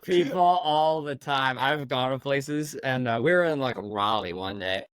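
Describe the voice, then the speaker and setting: A man's voice: medium pitch, a little slow, smooth, dry recording. Guy with a southern midwest mix accent, chill, tells stories, dry close mic. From Virginia. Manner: easygoing, laughs and tells anecdotes. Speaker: guest, race car driver. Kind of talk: casual interview chat.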